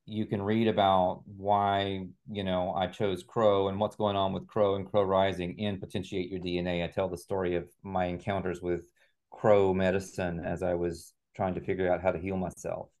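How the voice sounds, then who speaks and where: Low-voiced middle-aged man explaining. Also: little pitch movement, normal speed, darkish tone. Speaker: guest, author and healing practitioner. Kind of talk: conversational interview answers.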